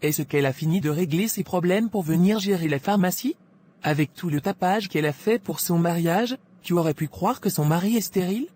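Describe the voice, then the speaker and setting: A woman's voice: fairly low pitch, fairly quick, dark tone, close to an everyday voice. A woman playing a gossipy, scheming pharmacy employee in a cartoon drama, insinuating and self-assured, with faint noise. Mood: smug. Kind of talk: animated moral drama dialogue.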